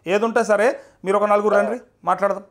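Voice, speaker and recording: Man, high voice, rapid delivery, Telangana accent, journalist, casual telephone talk. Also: steady tone. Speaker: journalist taking a farmer's call. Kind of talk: casual phone conversation. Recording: phone call audio.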